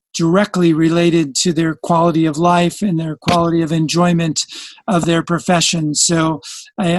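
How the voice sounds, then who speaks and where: A man's voice: a little high, no room sound. A man hosting a podcast from a studio, reading a polished introduction and then chatting with enthusiasm. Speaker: podcast host. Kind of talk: scripted intro then interview chat. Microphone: studio mic, dry sound.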